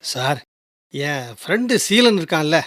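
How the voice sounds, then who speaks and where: A man's voice: fairly high pitch, sing-song, measured pace, fairly bright tone, no echo, studio quality. An actor playing a loyal, earnest young working man in a Tamil radio drama. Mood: pleading. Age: young adult. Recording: music behind the voice.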